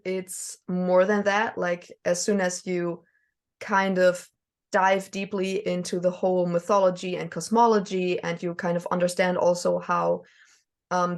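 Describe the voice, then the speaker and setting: A woman from Germany with a German accent, talking as a podcast guest, hesitant with many fillers and small laughs. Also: on the low side. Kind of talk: casual conversation.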